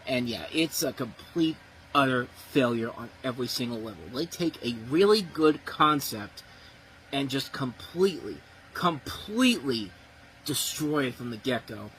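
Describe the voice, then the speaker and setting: A man's voice: medium pitch, expressive, unhurried, fairly bright tone, slight background noise, poor audio. A man giving a blunt, profane, exasperated movie review, ranting about what went wrong. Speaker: film reviewer.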